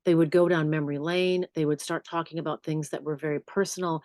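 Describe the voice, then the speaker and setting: Low-voiced woman telling stories. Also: fast talker, dry recording, studio quality. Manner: warm storytelling, animated asides. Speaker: journalist and podcast host. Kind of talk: relaxed interview answers.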